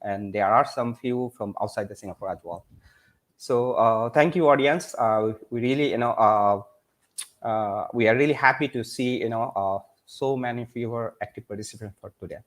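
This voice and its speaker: A man's voice: mid-range voice, normal speed. A man hosting an online panel, full of fillers like "uh" and "you know", now and then switching into Bengali. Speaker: host.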